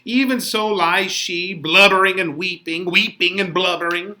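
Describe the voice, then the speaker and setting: A man's voice: sits high, normal speed, sharp tone, voice pushed up. A man voicing a fretful, woeful female nurse who laments in melodramatic Shakespearean verse. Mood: woeful lamenting. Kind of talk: dramatic Shakespeare reading.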